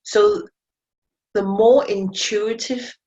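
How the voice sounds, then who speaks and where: A woman's voice: medium pitch, steady tone, extremely slow, dark tone. A woman teaching animal communication in a webinar, speaking earnestly and reflectively with frequent self-corrections; English is not her first language. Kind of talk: webinar talk to learners. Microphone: video call mic. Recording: dull lo-fi webinar audio.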